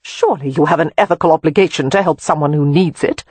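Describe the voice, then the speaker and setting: A prim, waspish governess, as an audiobook narrator puts her on, cool and sarcastic in every word. The voice is lively in pitch with a faintly husky edge. It sounds close and dry. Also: fairly quick, dry recording. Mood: acerbic. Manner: acerbic, calm, sarcastic. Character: prim, sharp-tongued young governess. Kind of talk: audiobook character voice.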